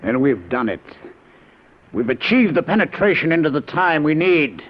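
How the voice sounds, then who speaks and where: Intense professor, animated, old radio sound. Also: male voice, a little high, normal speed. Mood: determined. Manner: urgent, declamatory, commanding. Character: driven, intense male scientist professor. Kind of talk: 1950s radio drama acting. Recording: dull, faintly noisy vintage radio recording.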